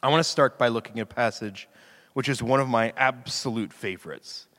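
A man of middle pitch preaches in a relaxed, chatty way, quick to laugh at himself. His voice carries a slight rasp. A long reverb trails each phrase, as if he is speaking in a large church hall. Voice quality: slightly raspy from screaming into a mic. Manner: chatty, self-deprecating, laughs easily. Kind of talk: casual conversational sermon. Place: church sanctuary.

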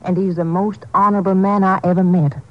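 A woman's voice: mid-range voice, level tone, brisk, no room sound, natural voice. A woman playing a trusting, anxious widow in a 1950s radio drama, polite and hesitant. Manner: hesitant, flustered. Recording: muffled old radio recording.

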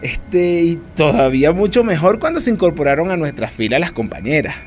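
An actor playing a candid former guerrilla in a radio drama, earnest and slightly hesitant. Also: male voice, on the high side, expressive, a little fast, faint music behind, lightly acted. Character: candid Venezuelan male former guerrilla comrade.

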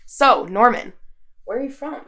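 A woman's voice: fairly high pitch, animated, a little slow, a crowd in the background. A young woman from Wisconsin, co-hosting a student podcast in an upbeat, chatty way. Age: young adult. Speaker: podcast co-host. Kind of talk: casual chat.

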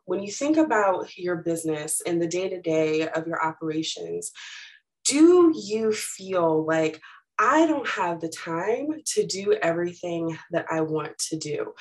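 A middle-aged woman, a little raspy from allergies, giving a chatty webinar presentation with plenty of fillers. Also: fairly low pitch, rough recording. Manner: casual and chatty, many fillers.

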